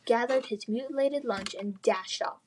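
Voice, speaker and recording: A woman reading children's fantasy fiction aloud in expressive, scripted narration. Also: on the high side, normal speed, a little hiss or hum. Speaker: storybook reader.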